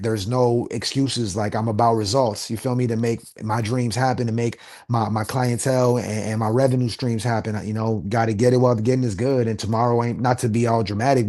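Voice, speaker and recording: A man with a Los Angeles accent talks as a guest, loose and animated, dropping street slang as he goes. His voice sits at an ordinary middle pitch, and he often restarts his sentences mid-thought. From USA. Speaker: guest rapper. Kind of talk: casual interview talk.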